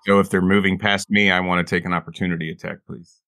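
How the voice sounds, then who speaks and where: Deep-voiced man chatting at the game table. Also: flat delivery, fast, sharp tone, no echo, clean studio sound. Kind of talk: casual game table chat.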